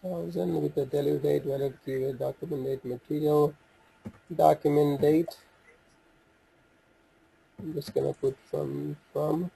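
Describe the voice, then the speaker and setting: A man presenting an informal software walkthrough, talking haltingly as he thinks aloud while working through the screens. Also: fairly high pitch, little pitch movement, gravelly, husky, rough recording.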